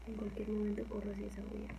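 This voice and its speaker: Soft-spoken Mexican woman, gravelly low murmur. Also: monotone, fast talker, background noise. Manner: rambling, soft, often drops to a whisper. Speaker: ASMR channel host. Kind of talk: casual soft-spoken chat. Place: small home kitchen, indoors.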